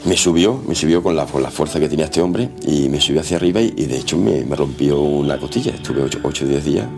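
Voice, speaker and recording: A man's voice: extremely low pitch, over music. A man, a police officer, recalling events in an interview, repeating himself as he goes. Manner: halting, repeats phrases. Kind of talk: spoken interview recollection.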